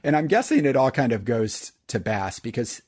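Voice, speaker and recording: A man with a deep voice, co-hosting a podcast in a rambling, enthusiastic casual chat. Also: sing-song, moderate pace, darkish tone, slightly rough. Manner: rambling, enthusiastic, many fillers. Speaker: podcast co-host.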